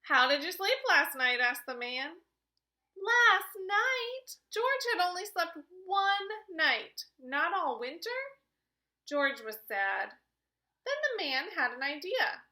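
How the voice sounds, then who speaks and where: A woman reading a children's storybook aloud in an expressive, deliberate, child-directed way. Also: extremely high pitch.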